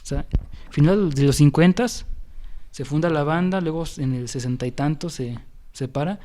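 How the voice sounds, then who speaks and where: A man's voice: on the high side, normal speed, mellow. A young man with a Mexican accent, hosting a casual podcast chat about music history and talking in a rambling way with many fillers and restarts. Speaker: podcast host.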